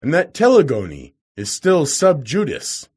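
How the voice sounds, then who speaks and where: A man's voice: on the high side, wildly swooping pitch, measured pace, darkish tone, husky, pitched above the performer's own voice, full character voice. A man doing a roaring hot-tempered professor. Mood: scornful. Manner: blustering, roaring.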